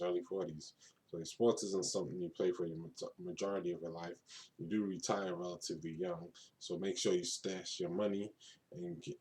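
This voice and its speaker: A man in his thirties from Brooklyn, New York talks off the cuff in a video diary, his voice a little lower than most men's. He rambles in a laid-back way, restarts his phrases, and circles back to repeat his points. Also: a little mellow. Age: 30s. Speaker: vlogger. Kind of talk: casual unscripted monologue to camera.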